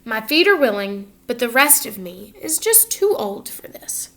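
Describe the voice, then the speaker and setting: Lady doing an old storybook peddler guy, high voice, cheery sing-song seller. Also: sing-song, unhurried, a bit bright. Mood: resigned. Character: cheerful old male peddler hawking feet, sighing with age. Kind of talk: storybook read-aloud character voice.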